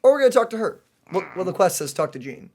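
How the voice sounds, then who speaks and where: Animated, questioning gameplay co-host. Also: medium pitch, fast. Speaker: let's play co-host. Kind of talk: casual gameplay commentary chat. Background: game music.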